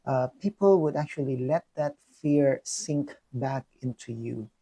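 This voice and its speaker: A man's voice: mid-range voice, a little slow, vocal fry, dark tone, husky. A young adult man from the Philippines with a Filipino accent, talking earnestly in a personal reflection vlog, full of ums and restarts. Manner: many fillers and restarts, earnest. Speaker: vlogger.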